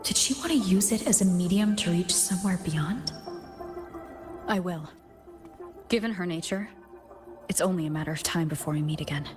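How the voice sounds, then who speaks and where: Calm, low-voiced woman wanderer played by an actress. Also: normal speed, long pauses, music in the background, lightly acted. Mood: calm, pensive. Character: calm, thoughtful female wanderer far from home. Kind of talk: scripted video game dialogue.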